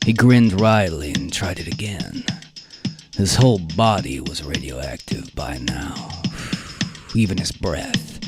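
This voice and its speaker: A man narrating a live radio drama in a theatrical manner, with measured pauses and a musical score behind him. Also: sits low.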